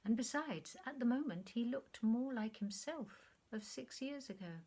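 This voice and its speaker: Female audiobook narrator for literary fiction, expressive and dramatic, with frequent hushed, breathy delivery. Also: a little high, unhurried. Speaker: actor reading a short story.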